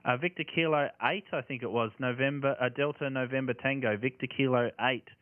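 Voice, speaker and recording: A man's voice: medium pitch, steady tone, a little fast. An Australian man working as an amateur radio operator, making calls and exchanging signal reports in phonetic radio jargon. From Tasmania, Australia. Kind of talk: ham radio calling, phonetic call signs and signal reports. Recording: tinny band-limited audio with radio static.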